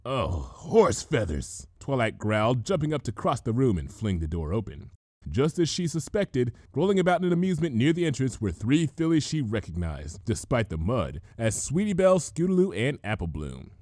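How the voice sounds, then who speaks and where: Man, story narrator, medium pitch, animated delivery, close dry recording. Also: a little fast, no echo. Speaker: fan fiction reader.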